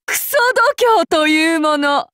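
A woman playing a soft-spoken, menacing female demigod avenger in an anime game, using archaic, formal and polite speech. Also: high voice, steady tone, measured pace, no room sound, clean studio sound. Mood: amused, courteous. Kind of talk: anime mobile game voice lines.

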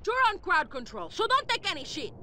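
Casting: ruthless woman bandit, very high swooping voice, bossy video game villain. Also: wildly swooping pitch, brisk, very noisy, full character voice. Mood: bossy, furious. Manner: bossy, shrill, swearing. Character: hot-tempered ruthless female bank robber bossing her partner. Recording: game audio with loud gunfire and clatter.

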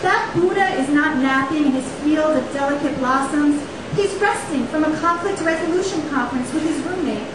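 Woman, very high voice, emphatic spoken-word delivery, very echoey live room. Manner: emphatic, deliberate phrasing with rhetorical repetition. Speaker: poet reading own work. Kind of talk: dramatic spoken-word poetry reading. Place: small indoor poetry venue on stage. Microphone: stand microphone, picked up by camera. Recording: echoey live room, distant camera, faint hiss.

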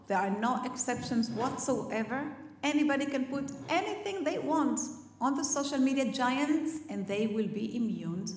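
Middle-aged woman, high voice, emphatic conference speaker on a headset mic in a big echoey hall. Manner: measured, emphatic, list-like delivery. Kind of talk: prepared public speech.

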